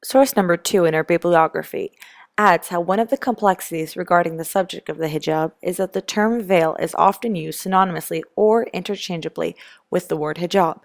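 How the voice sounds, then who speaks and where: A young woman narrates formally from a script. Her voice is slightly low with vocal fry, recorded close and dry. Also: brisk, no room sound. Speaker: student documentary narrator.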